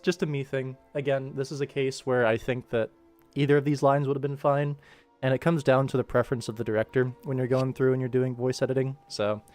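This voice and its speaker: A man streaming his work as an animation director, talking informally and rambling as he thinks aloud. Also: medium pitch. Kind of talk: casual livestream work commentary. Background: game ambient music playing softly.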